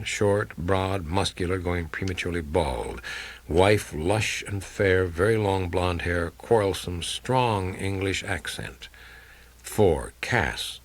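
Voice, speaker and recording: A man reading a crime audiobook as a world-weary, blunt male investigator who tells his case in a terse, dry, deliberate way. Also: sits low, full character voice. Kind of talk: dramatic first-person audiobook reading of hardboiled crime fiction.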